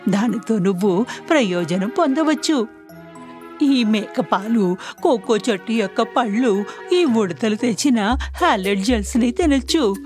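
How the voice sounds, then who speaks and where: A woman's voice: fairly high pitch, lively intonation, measured pace, soft background music. Woman acting a wise, kindly old woman. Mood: reassuring. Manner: gentle, reassuring. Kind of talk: children's cartoon story acting.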